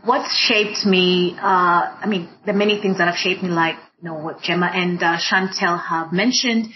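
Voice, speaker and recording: A woman from Kenya, a diversity and inclusion practitioner speaking thoughtfully on a panel, pausing often with fillers. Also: fairly low pitch, steady tone, a little hiss or hum. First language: Swahili. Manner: thoughtful, many fillers and restarts. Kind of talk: reflective panel conversation. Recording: dull lo-fi audio.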